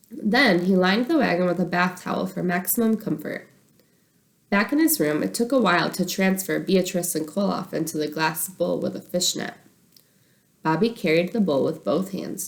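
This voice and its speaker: A woman reading a children's chapter book aloud as an expressive narrator. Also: fairly low pitch, creaky. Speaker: storybook reader.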